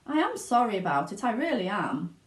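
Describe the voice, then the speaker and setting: A woman's voice: medium pitch, very animated, a little fast, reverberant, lightly acted. A woman voicing a fussy, eccentric male sweet-maker in a storybook, plaintive, sighing and resigned.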